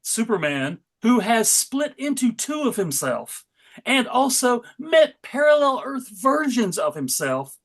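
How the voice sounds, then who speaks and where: A man narrating a live comic book read in a wry, deadpan way. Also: very high voice, unhurried.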